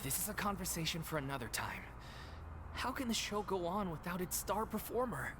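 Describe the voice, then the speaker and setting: A man voicing a young theatre troupe actor, worried and reproachful, in expressive video game dialogue. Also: high-pitched, fairly quick, background noise.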